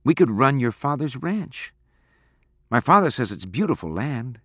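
A man's voice: medium pitch, sing-song, moderate pace, husky, no echo, studio quality, voice pushed up. A man voicing a practical, devoted teenage girl in an audiobook, scolding and insistent in her manner. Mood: eager, determined. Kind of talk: audiobook character acting.

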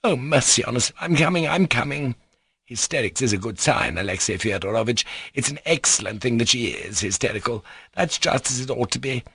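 A man's voice: medium pitch, lively intonation, brisk, vocal fry, fairly bright tone, slightly rough, no echo, clean studio sound, pitched above the performer's own voice, full character voice. A man voicing a gushing, flighty middle-aged society mother who exclaims and repeats herself in an audiobook story. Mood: flustered scolding. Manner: effusive, repetitive, exclaiming.

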